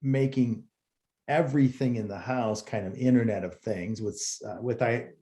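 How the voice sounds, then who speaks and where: A man's voice: medium pitch, moderate pace, mellow. A man hosting an interview, speaking casually and loosely, with self-deprecating asides. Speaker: interview host. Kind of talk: conversational interview.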